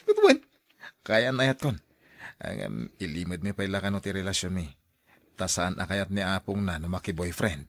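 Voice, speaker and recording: A man's voice: deep voice, sing-song, moderate pace, no room sound. An actor playing a lovestruck young man, an eager and generous suitor, in a radio drama. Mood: excited.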